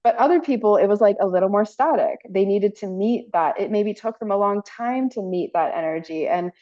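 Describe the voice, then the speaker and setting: A woman's voice: mid-range voice, fairly quick, mellow, lo-fi sound. A woman hosting a casual chat show, telling stories with frequent laughter and fillers. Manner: storytelling, laughs often, many fillers. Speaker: podcast host.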